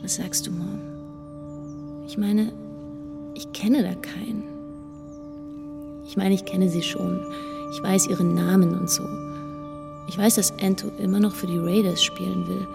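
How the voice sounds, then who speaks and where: A woman's voice: medium pitch, steady tone, measured pace, creaky, pauses often. An actress playing a shy, lonely, grieving teenage schoolgirl in an introspective, hesitant inner monologue for a radio play. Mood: wistful. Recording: music under the voice.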